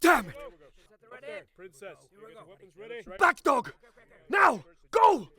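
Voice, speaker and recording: A man playing a desperate, outraged soldier in a fairytale drama, forceful and indignant, in a reverberant space. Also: very high-pitched, big pitch swings, slow, heavily acted. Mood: urgent alarm. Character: desperate, defiant, outraged soldier facing arrest. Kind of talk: dramatic fairytale audio acting.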